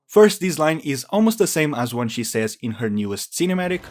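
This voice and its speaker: A man's voice: fairly high pitch, sing-song, brisk. A man giving explanatory commentary on a video game's lore as a host. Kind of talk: explanatory lore commentary over game clips.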